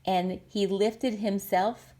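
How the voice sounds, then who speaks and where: A woman's voice: mid-range voice, fairly even intonation, takes it slowly, mellow, close to an everyday voice. A woman playing a stubborn male factory owner from a storybook, telling his tale in emphatic, rhythmic rhyme. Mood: regretful.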